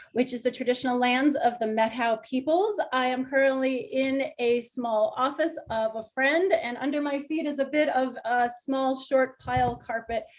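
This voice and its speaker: A woman welcoming people to an online workshop over a video call, inviting and gently instructive. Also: sits high. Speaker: workshop facilitator.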